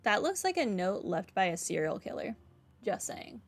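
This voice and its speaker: A woman's voice: medium pitch, very animated, normal speed. A woman giving casual video game playthrough commentary with dry, wry asides. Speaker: gamer.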